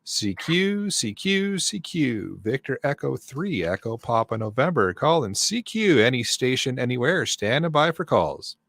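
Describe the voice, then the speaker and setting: A man's voice: mid-range voice, big pitch swings, measured pace, few pauses, a bit bright. A man working as an amateur radio operator, making repetitive, formulaic calls in a clipped sing-song.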